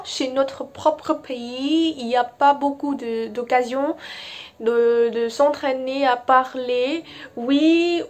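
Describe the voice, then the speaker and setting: A young woman from Vietnam with a Vietnamese accent, talking to camera in a careful, hesitant way with frequent fillers. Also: sits high. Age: young adult. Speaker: vlogger. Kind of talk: casual talk to camera.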